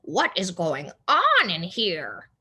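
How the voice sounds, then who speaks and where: A woman's voice: on the low side, wildly swooping pitch, slow, bright, forceful tone, husky, exaggerated voice. A woman voicing a stern, opinionated, scolding older sister in a children's storybook. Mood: brusque. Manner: scolding, emphatic, certain of being right.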